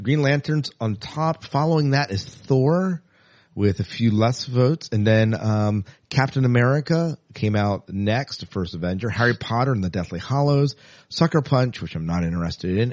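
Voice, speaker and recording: A man's voice: on the low side, lively intonation, normal speed, a little mellow, dry recording, studio quality. A man hosting a podcast, chatting casually about news items and steering the conversation. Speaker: podcast host.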